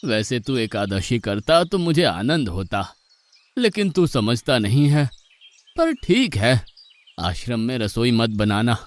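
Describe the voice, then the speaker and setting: A man playing a stern, pious old ashram guru in an animated moral story, admonishing and patient but exasperated. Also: medium pitch, takes it slowly. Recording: music bed behind the voice.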